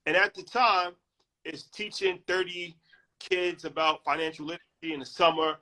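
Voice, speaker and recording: A male podcast host tells stories in quick, animated bursts. His voice is fairly high, with a crisp, forceful brightness. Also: rough recording. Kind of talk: casual conversation.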